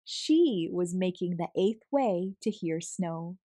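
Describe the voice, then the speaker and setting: A woman narrating a children's picture book in an expressive, animated, deliberate storytelling manner. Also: on the low side, dry recording, clean studio sound. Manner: animated, deliberate storytelling with acted sound words. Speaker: storybook read-aloud host.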